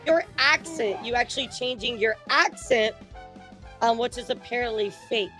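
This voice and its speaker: A woman's voice: fairly high pitch, moderate pace, fairly bright tone, soft background music. A woman interviewing a guest in an online chat, talking casually with plenty of fillers.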